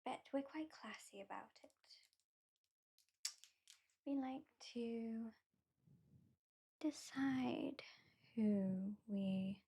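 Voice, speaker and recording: Woman playing a haughty, breathy British vampire lady. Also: on the high side, exaggerated voice. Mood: teasing, haughty. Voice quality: soft and breathy. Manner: slow, teasing, condescending, often drops to a whisper. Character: haughty female vampire who claims the listener as a pet. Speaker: ASMR roleplay performer. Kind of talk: ASMR roleplay.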